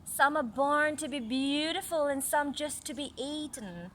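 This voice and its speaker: Woman, storytelling mother with a highish voice, reads to a child and explains as she goes; noisy recording. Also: a little slow, a bit bright. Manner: explains and asks the child questions. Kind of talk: read-aloud storytelling with casual asides to a child.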